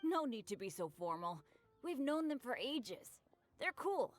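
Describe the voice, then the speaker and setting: A woman's voice: fairly high pitch, big pitch swings, unhurried, soft background music. A woman voicing an earnest, friendly teenage boy adventurer in a video game, with an eager, casual manner. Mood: easygoing. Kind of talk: video game character acting.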